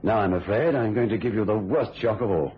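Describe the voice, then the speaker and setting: An actor playing a firm, paternal family doctor in a radio thriller, questioning with authority. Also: male voice, on the low side, a little fast, husky. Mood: grave. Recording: dull lo-fi radio recording.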